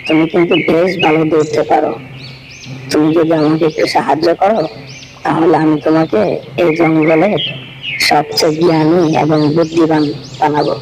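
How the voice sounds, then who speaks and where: Man voicing a high, creaky sly cartoon fox. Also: level tone, moderate pace, pauses often, darkish tone, a little hiss or hum, lightly acted, rough recording. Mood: wheedling. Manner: wheedling, grumbling. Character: sly hungry male fox scheming to steal ducks. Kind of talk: cartoon voice acting.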